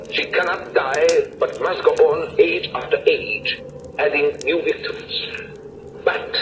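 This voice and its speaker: An actor playing a solemn, commanding foreign professor who hunts vampires, speaking with ominous, emphatic, halting phrasing in 1930s radio horror style on an old noisy recording. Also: male voice, a little high, distant mic.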